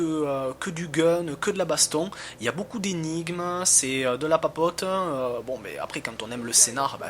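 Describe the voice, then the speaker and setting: A man with a bright, crisp-edged voice of middling pitch reviews a video game as he plays. His delivery is casual and colloquial. He rambles and hesitates as he looks for the next thought. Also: slight background noise. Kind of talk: casual gameplay commentary.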